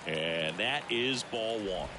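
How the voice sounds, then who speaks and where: A man's voice: fairly low pitch, expressive, slow. A man voicing a baseball play-by-play announcer in a video game, describing the action as it unfolds. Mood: engaged, descriptive. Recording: game broadcast under very loud noise.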